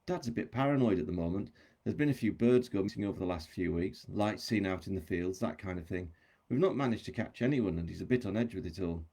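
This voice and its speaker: A spirited, independent younger British woman in a novel reading, voiced by a man in his own low range. The delivery is brisk and cheeky, with a husky, mellow tone. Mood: explaining. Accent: British English. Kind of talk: audiobook-style novel reading.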